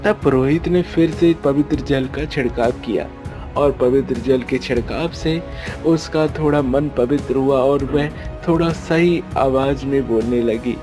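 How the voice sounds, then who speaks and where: Male cartoon storyteller over soft music. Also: medium pitch, a little slow. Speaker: story narrator. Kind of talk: scripted storytelling narration for cartoon tales.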